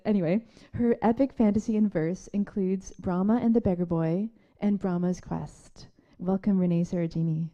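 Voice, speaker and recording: A woman hosting a festival reading, chatty and quick to laugh as she introduces the next poet on a theatre stage. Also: medium pitch, warm tone. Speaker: festival host introducing readers. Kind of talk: live event introduction.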